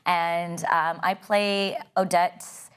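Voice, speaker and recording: A woman's voice: on the low side, level tone, very slow, smooth. A woman in her thirties from Canada, an actress chatting casually as an interview guest, upbeat and laughing often with many fillers.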